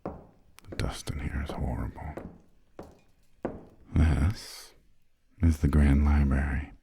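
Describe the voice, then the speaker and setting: A man playing an imperious, aristocratic vampire lord in a whispered ASMR roleplay, with formal, haughty, old-fashioned phrasing and a breathy murmur. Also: steady tone, takes it slowly, warm tone, a little hiss or hum, exaggerated voice. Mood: dry, haughty. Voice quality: breathy, gravelly low murmur. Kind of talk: whispered ASMR roleplay, slow scripted monologue.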